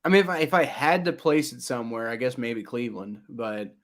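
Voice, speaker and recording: A man's voice: mid-range voice, expressive, brisk. A man with a slight Kentucky accent co-hosting a casual sports podcast, blunt and opinionated, often stammering over repeated words.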